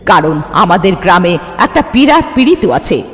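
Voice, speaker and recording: Cartoon village aunt, played by a man: grim, ominous, extremely high voice, lively swings, drawn-out warnings. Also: in a large hall, soft background music. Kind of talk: cartoon voice acting.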